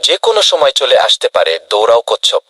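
A man voicing a talkative, friendly but anxious rabbit with a husky voice in a children's cartoon. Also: mid-range voice, big pitch swings, fast, heavy background noise, close to an everyday voice. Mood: panicked urging. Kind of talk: dubbed children's cartoon dialogue.

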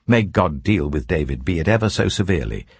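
An older man voicing an outlaw leader who sends courteous greetings, in a very low, gravelly voice. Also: animated, a little fast, exaggerated voice. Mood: wrathful.

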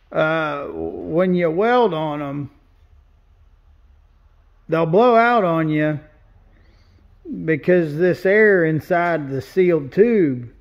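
Slow-talking plain-spoken welder man. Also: on the high side, lo-fi sound. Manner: plain-spoken, explains as he points things out. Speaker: welder and fabricator. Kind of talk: casual shop walkthrough. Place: large indoor metal fabrication shop.

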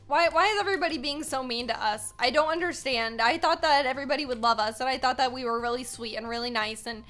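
Bright, high-voiced young American woman gamer. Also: quick pace, soft background music. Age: 20s. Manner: animated, playfully dramatic. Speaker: gaming video host. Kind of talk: excitable gameplay commentary with casual chat to viewers. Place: small home room. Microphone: desk microphone, close.

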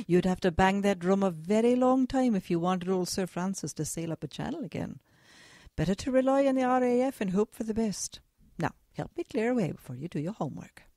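A woman's voice: medium pitch, animated, a little fast, mellow, a little hiss or hum, natural voice. A woman playing a weary but loving, strict working mother in a radio drama. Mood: gently dismissive.

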